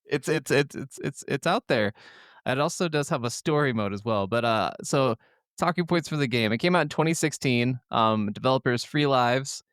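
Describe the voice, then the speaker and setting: A man's voice: mid-range voice, lively intonation, moderate pace, a bit bright, no echo, clean studio sound. A man co-hosting a podcast in casual chat, talking in a crude, jokey, profane way. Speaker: podcast co-host.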